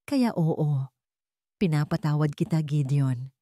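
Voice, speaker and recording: Woman as a wounded, forgiving wife, low-pitched. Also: expressive, slow pace, mellow, dry recording, studio quality. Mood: tender, forgiving. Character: deeply hurt young nurse wife, tender and forgiving. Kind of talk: dramatic Tagalog romance audio story.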